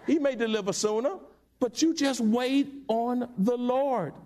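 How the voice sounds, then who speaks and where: A male pastor preaching a sermon in church, with call-and-response and sudden high-pitched asides. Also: a little slow, darkish tone. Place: church sanctuary.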